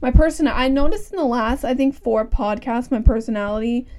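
Laid-back young woman, slightly high voice. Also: fairly quick. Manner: relaxed, joking, swears freely. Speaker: podcast host. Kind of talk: casual chat.

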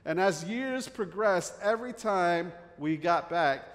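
Middle-aged North Jersey pastor, a bit higher voice, relaxed and chatty, long church-hall reverb. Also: male voice, slow pace. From northern New Jersey. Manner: conversational with fillers and restarts. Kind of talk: sermon.

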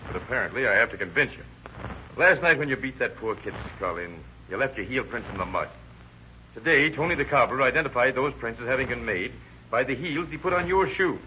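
An actor playing a tough, sardonic police detective in 1930s radio crime drama, blunt, slangy and commanding. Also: male voice, medium pitch, animated, brisk, sharp tone. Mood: firm, pressing. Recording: muffled, noisy old radio recording.

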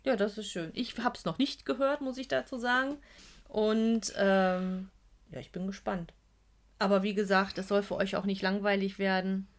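A woman's voice: medium pitch, steady tone, normal speed. A woman co-hosting a casual podcast, chatty and blunt. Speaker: podcast co-host. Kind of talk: casual chat about audio dramas.

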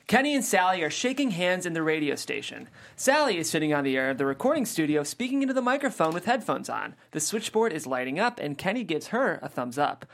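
A narrator reading the stage directions of a screenplay aloud in a plain, steady way. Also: medium pitch, fast, hardly any pauses.

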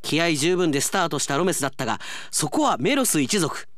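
A man's voice: a little high, very animated, fast, fairly bright tone, no echo. A man hosting a radio segment, chatting and reading out listener mail as an announcer.

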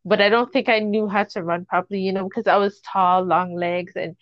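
A friendly woman in her forties hosts a casual podcast chat and speaks directly to her listeners. Her pitch is mid-range. The sound is dry and a bit muffled. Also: fairly even intonation, fairly quick, no room sound. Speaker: podcast host.

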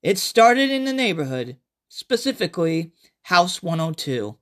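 A chatty survivor, played by a man recounts an outbreak horror tale in a raised, high voice. The delivery is animated and emphatic, like a dramatic first-person audiobook reading. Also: unhurried. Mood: animated, foreboding.

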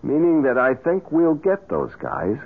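A man's voice: on the high side, wildly swooping pitch, a little slow, full character voice. An American actor playing a guarded, evasive businessman in an old-time radio drama, agitated and apt to stammer. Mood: guarded, defiant. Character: defensive, evasive young businessman protecting his fiancée and secret. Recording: narrow, noisy old radio recording.

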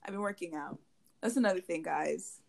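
A woman's voice: lowish voice, a little fast, vocal fry. Giggly young woman, rambling casually. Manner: giggly, rambling with many fillers. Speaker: podcast co-host. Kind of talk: casual chat. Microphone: handheld mic held to mouth.

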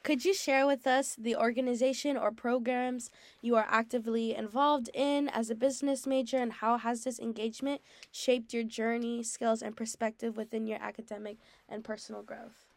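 A woman's voice: fairly high pitch, steady tone, moderate pace, a little mellow, no room sound. A young woman hosting a student podcast, asking questions and encouraging her guests. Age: young adult. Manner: encouraging, many affirming "mm-hmm"s. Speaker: podcast host.

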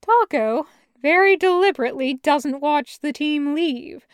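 A woman reading fan fiction aloud as expressive scripted narration. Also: high-pitched, measured pace, no room sound, clean studio sound.

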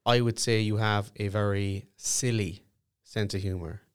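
Dry, lowish-voiced Irish man in his twenties. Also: steady tone, smooth. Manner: dry, quick-witted, sweary. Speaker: podcast co-host. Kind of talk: casual comic chat.

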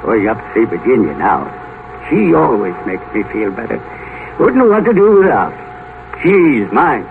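An actor playing a rich, hot-tempered old uncle terrified of death in a 1950s radio drama, blustering, melodramatic and prone to stammer. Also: male voice, fairly low pitch, sing-song, moderate pace, creaky. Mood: gruff, confiding. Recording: crackly, narrow-band 1950s radio broadcast.

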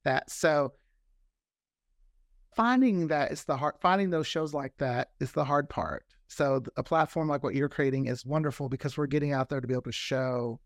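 A man with a slightly higher-pitched voice talks freely as a guest in a relaxed interview. He is chatty and likes to repeat words to stress them. The recording is very dry, with no room sound. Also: moderate pace, no room sound. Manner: talkative, repeats words for emphasis. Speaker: interview guest, fiction podcast creator. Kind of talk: casual interview chat.

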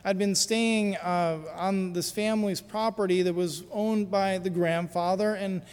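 An American pastor with a notably high voice tells a story from the pulpit in a relaxed, conversational way, often pausing on small fillers. His words ring out with a long reverb in a church sanctuary. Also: clear, resonant tone. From United States. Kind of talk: sermon with personal story.

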